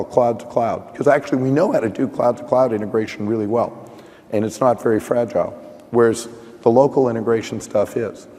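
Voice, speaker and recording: A man with a hoarse voice explains a technical topic at a conference session. He works through his thoughts out loud, with restarts and fillers. His medium-pitched voice carries a long echo from a large hall. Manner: thinking aloud, with frequent restarts and "you know". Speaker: conference panelist.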